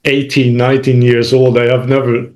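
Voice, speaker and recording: A man talks as a guest in an interview. His voice sits at a middling male pitch. He tells his story conversationally, pausing and correcting himself as he goes. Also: completely flat delivery, a little mellow. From Sweden. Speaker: interview guest, manufacturing executive. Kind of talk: recounting career experience in conversation.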